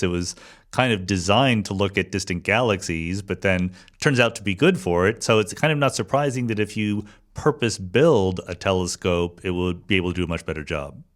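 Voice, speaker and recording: Lowish-voiced man hosting a science podcast. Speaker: podcast host. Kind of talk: conversational science interview.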